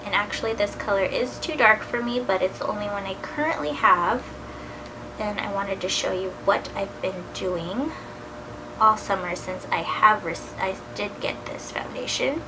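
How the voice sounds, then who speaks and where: Woman, 30s, beauty vlogger with a cold: gravelly, nasal, chatty, noisy home recording. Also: mid-range voice, moderate pace. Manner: casual and chatty, drifting into soft near-whispers. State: has a cold, a little nasal. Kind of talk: chatty makeup tutorial.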